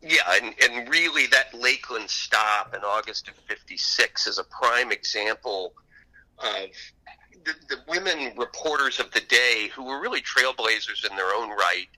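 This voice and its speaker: A man's voice: medium pitch, normal speed, sharp tone, poor audio. A man speaking as an interview guest and author, telling anecdotes in an enthusiastic, conversational way.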